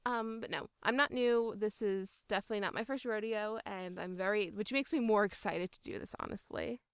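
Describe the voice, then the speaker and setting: Woman, medium-low voice, very clear and smooth, casual interview answers, emphatic, enthusiastic, close dry sound. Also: fairly quick, no room sound. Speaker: voice actor being interviewed.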